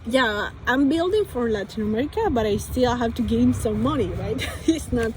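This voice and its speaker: A woman's voice: on the high side, animated, normal speed, noisy background. A young woman from Colombia with a Colombian Spanish accent, speaking English as a second language and thinking aloud in a hesitant vlog full of restarts and fillers. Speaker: vlogger.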